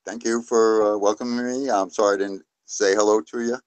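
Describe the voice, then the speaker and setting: Low-voiced rambling New man. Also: fairly even intonation, clean tone, no echo, rough recording. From New York City, USA. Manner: rambling, halting with many fillers. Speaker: guest. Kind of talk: casual live-stream discussion.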